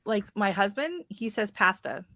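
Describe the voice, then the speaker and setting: An American woman with a slight Canadian lilt chats casually as a livestream host, telling stories in an animated way. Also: mid-range voice, normal speed, no echo. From Oregon, USA.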